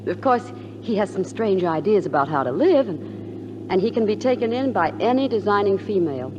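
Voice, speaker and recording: An actress playing a spirited young wife and new mother on a farm, dramatic and quick to scold, in old film comedy style. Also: mid-range voice, lively intonation, natural voice. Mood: fond. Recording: dull, noisy old film soundtrack.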